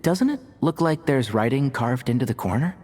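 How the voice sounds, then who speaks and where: A man's voice: mid-range voice, expressive, normal speed, darkish tone, a little hiss or hum, lightly acted. A man voicing a reserved, practical young intellectual in a video game, dry and courteous with formal phrasing. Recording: long reverb, game music behind.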